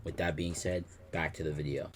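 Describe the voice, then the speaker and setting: A man's voice: a bass voice, steady tone, fairly quick, a little hiss or hum. A man giving casual, blunt, profane reaction commentary over a video. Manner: blunt, profane, rambling asides. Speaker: reaction streamer.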